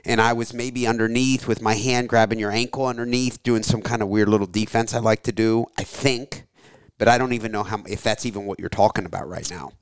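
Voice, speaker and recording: Slightly hoarse man, casual podcast host. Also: on the low side, steady tone, fairly quick, no echo. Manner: loose, rambling, swears casually. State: slightly hoarse, lost some voice. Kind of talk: casual chat.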